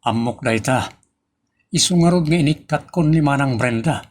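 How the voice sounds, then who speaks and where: A man's voice: medium pitch, normal speed, a little mellow, close to an everyday voice. A man with a Filipino accent playing a stern, authoritative office boss who scolds his staff in a radio-style drama. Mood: firm, commanding. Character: stern male office boss, commanding and exasperated.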